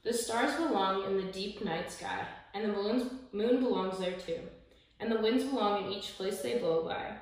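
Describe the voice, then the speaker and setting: A young woman reading a children's picture book aloud in measured, rhythmic verse, with the odd small stumble. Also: medium pitch. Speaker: story time reader. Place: small indoor room, seated by a window. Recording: echoey room, distant mic, faint noise.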